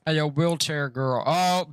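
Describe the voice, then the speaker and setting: A man's voice: on the high side, slow, smooth, no echo. A man livestreaming a video game, reacting to it in casual, joking, slangy commentary. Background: game music.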